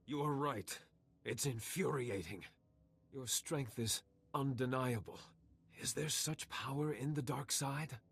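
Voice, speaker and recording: An actor playing an earnest, proud Jedi knight with a husky voice in a video game. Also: male voice, mid-range voice, takes it slowly, exaggerated voice. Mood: chastened.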